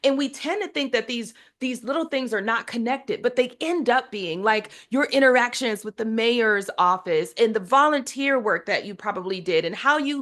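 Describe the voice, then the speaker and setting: A woman's voice: a little high, a bit bright. A woman hosting an interview, chatty and enthusiastic, asking long layered questions. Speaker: podcast host. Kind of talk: casual interview chat.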